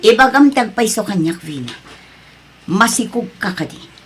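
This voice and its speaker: A woman's voice: medium pitch, lively intonation, moderate pace, over music, lightly acted. A woman with a Filipino accent playing a suspicious, worried, strict mother in a family drama. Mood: stern, accusing. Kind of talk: Filipino radio-style family drama acting.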